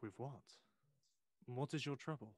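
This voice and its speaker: A male actor as an inquisitive Victorian gentleman in an audio drama. He has a mid-pitched voice, recorded close and dry, and talks with formal, polite curiosity. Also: unhurried, dry recording, close to an everyday voice. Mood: courteous, inquisitive. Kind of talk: audio play acting.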